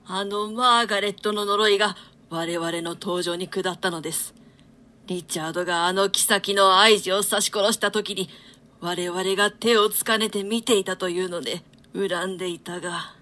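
Classical drama role: woman plays indignant, fearful condemned lord, bright forceful tone, declamatory archaic lines. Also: medium pitch, fairly even intonation, moderate pace, lightly acted. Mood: dread. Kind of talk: theatrical reading of archaic translated Shakespeare.

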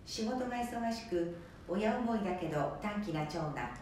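A woman narrates an amateur radio play from a script, in a mid-pitched voice. She sounds distant in a very echoey room, with faint noise. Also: expressive, normal speed, a little mellow.

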